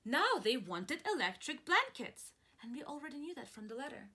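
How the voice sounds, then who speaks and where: A woman with a bright, fairly high voice reads a picture book to small children as their teacher. She takes her time, swinging widely in pitch for drama, and pauses to put questions to her young listeners with plenty of encouragement. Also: sing-song, slightly rough. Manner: slow, deliberate and dramatic, stretching words, pausing to ask questions; encouraging, praising and addressing listeners as friends. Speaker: preschool teacher reading aloud.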